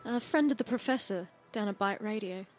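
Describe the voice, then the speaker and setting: Cool female rebel rocker , acted by a woman, mid-pitched, sly and unhurried, game role over soft music. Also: lightly acted. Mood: sly, persuasive.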